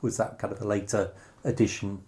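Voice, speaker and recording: A man's voice: lowish voice, little pitch movement, brisk, a little mellow. A man interviewing in a conversational way, phrasing his questions and comments tentatively and hesitantly.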